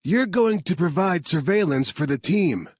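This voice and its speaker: A man's voice: high voice, lively intonation, moderate pace, breathy edge, dry recording, clean studio sound, pitched above the performer's own voice. A man voicing a frustrated young tech specialist in an audiobook. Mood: frustrated, urgent.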